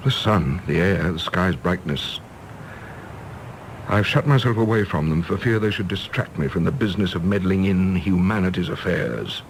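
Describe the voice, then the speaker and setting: An actor playing a disillusioned middle-aged English diplomat, bitter and self-mocking, in an impassioned radio-drama style. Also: male voice, low voice, normal speed, slight background noise, low-quality recording. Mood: wistful, anguished. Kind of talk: stage-play radio drama acting.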